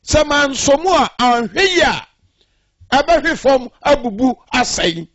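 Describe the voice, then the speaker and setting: A Ghanaian preacher delivers a radio sermon at a slow, deliberate pace. His voice sits very high for a man. The sound is dry and dull, like a lo-fi radio broadcast. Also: expressive, a bit bright, no room sound. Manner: measured preaching, reading scripture aloud. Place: radio studio.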